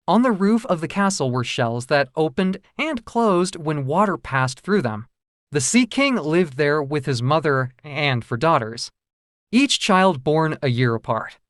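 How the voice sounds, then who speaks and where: Animated fairy-tale storyteller, dry close sound. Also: mid-range voice, no echo. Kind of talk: scripted narration of a children's fairy tale.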